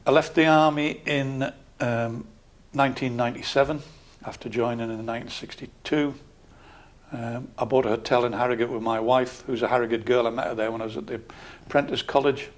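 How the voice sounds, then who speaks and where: Older geordie guy, ex-army councillor, calm and steady, talks it through plainly. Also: medium pitch. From Scotland, raised in Newcastle area. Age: 60s. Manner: measured, matter-of-fact, calm. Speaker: local councillor, interview guest. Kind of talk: calm interview answers.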